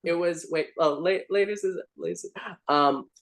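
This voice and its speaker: A man holding forth in a church discussion group in an animated, lecturing way, often checking in with "right?". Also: a little high, moderate pace.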